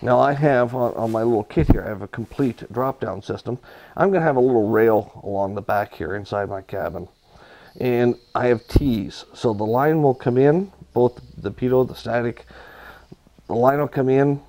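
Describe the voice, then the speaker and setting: A man giving hands-on instruction in a workshop, talking viewers through his work in a relaxed, practical way while he works at the bench. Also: medium pitch, moderate pace. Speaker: aircraft builder demonstrating.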